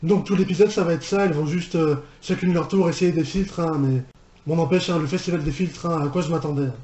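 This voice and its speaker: A man with a fairly high, mellow voice hosts a casual reaction commentary. He rambles and circles back on his words, recorded in an echoey room. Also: fast talker. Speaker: reaction video host and fan dubber.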